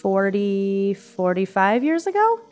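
A woman's voice: mid-range voice, very animated, slow pace, a little mellow, soft background music. A woman voicing a dry, sardonic young woman in an audio drama. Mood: wry.

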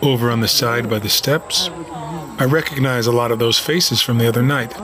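An actor playing a cynical, stern police officer in an audio drama, dry and skeptical. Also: male voice, medium pitch, normal speed, lightly acted. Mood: impatient, scornful. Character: cynical, stern male police officer, self-styled realist. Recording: crowd noise behind. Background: crowd.

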